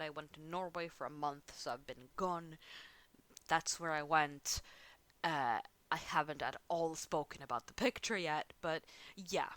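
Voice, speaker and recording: A Swedish woman with a slightly low voice rambles through a casual drawing vlog, giggling and poking fun at herself. English is her second language, and she speaks close into a headset mic at home. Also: fairly bright tone. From Sweden. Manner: rambling, giggly, self-deprecating. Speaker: art channel host. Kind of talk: casual chat over drawing. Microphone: headset close to mouth.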